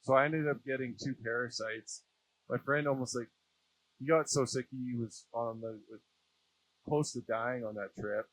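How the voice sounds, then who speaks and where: Male interview guest, Canadian accent, average pitch, informal slangy conversational delivery. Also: steady tone, normal speed, a bit bright, rough recording. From Saskatchewan, Canada. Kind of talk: casual chat. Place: small aircraft cockpit.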